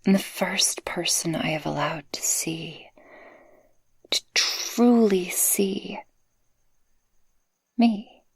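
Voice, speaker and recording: A woman playing a tender succubus guardian in an intimate, soft-spoken ASMR roleplay, affectionate and breathy. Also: on the low side, drawn-out words, pauses often, dry recording, full character voice. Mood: shy, earnest. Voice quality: breathy, husky. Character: tender, possessive female succubus guardian, playful and shy in love.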